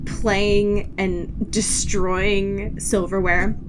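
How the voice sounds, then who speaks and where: A woman's voice: mid-range voice, level tone, very slow, background noise. An American woman co-hosting a podcast, chatting casually through a comedic book recap in a slangy, mock-exasperated way. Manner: exasperated mock-dramatic delivery, slangy, giggly. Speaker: podcast co-host.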